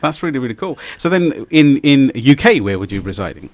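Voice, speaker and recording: Effusive male radio host, lively rising-falling delivery, dry close studio mic. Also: mid-range voice, brisk, no room sound. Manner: effusive, rambling, lively intonation. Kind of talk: live radio interview.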